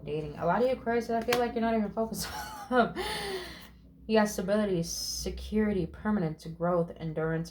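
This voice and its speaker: Chatty woman reading tarot, lowish voice. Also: darkish tone, slight background noise. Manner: chatty, affirming, swears casually, lists card words; drawn-out words with murmured "mm". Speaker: tarot reader. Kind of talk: casual spiritual tarot reading.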